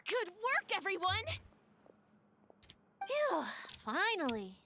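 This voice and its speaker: A woman's voice: very high voice, huge pitch swings, slow, heavy background noise, full character voice. An actress playing a keen, perky young woman in a video game, articulate and a little theatrical. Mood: cheerful.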